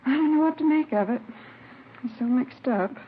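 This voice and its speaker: A woman's voice: high-pitched, normal speed, slight background noise, close to an everyday voice. A woman playing a talkative neighbor who is sure of herself, questioned by police in a 1950s radio drama. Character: chatty, certain middle-aged woman neighbor. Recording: dull vintage radio recording.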